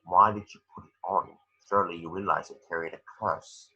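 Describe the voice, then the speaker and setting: A man voicing an anguished, bitter adult male wizard in a screenplay table read, with a halting delivery. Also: low-pitched, steady tone, pushed and bright, husky, muffled recording, full character voice. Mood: stern.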